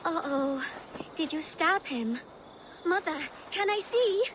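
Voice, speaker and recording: A woman's voice: very high-pitched, animated, slow, noisy background, heavily acted. A woman voicing a curious, eager little child from a medieval village in a video game cutscene. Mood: curious, excited.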